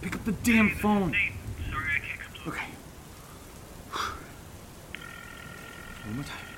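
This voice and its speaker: A man's voice: sits high, sing-song, normal speed, very noisy. An actor playing an anxious young man who stammers and mutters to himself in an audio drama. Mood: frantic. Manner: jittery muttering, stammering, self-talk. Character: anxious, rambling young man nervously searching alone.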